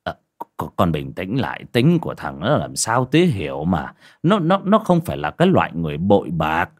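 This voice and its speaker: Man acting stammering old father, swooping pitch. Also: huge pitch swings, normal speed, exaggerated voice. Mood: soothing. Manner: stammering, repeating words when flustered. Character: anxious, protective old rural father, stammering and kindly. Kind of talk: audiobook character acting.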